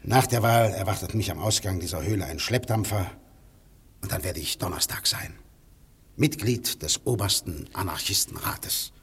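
An actor in a radio play playing a proud, conspiratorial anarchist poet with a rough, husky voice and a declamatory, intense manner. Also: male voice, low voice, normal speed, a bit bright, exaggerated voice. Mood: secretive pride. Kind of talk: German radio play acting.

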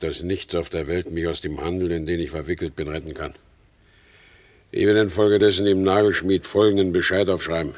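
An actor in a German radio play as a proud, embittered and resigned outlaw horse dealer, speaking gravely and formally. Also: male voice, low voice, darkish tone, natural voice.

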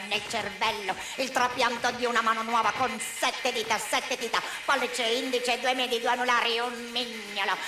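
Loud music surrounds a high, reverberant female voice, an actress playing an anguished, haunted sister. She delivers an experimental radio drama monologue as an obsessive, repetitive incantation. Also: close to an everyday voice. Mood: obsessive, urgent. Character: anguished grieving sister recounting her obsessed brother's ravings. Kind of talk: experimental radio theatre monologue over rock score.

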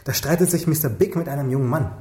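A hesitant junior detective, played by a man speaks at middle pitch in an echoey room. He is eager but deferential, in naturalistic radio crime drama style. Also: quick pace, mellow, natural voice. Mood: tentative. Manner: tentative, deferential.

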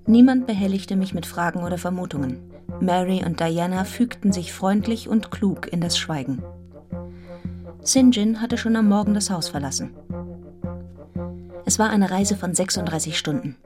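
Radio play narrator female actor: first-person heroine, lower register, measured dramatic reading, clear trombone music underneath. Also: lightly acted. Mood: composed, hopeful. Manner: measured, intense, in clipped literary phrases. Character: reflective heroine narrating her own story. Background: brass music with trombone.

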